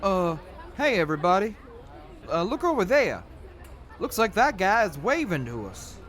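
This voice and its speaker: Guy as a wary practical traveler, high lively voice, audio drama, faint crowd behind. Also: normal speed. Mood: uncertain.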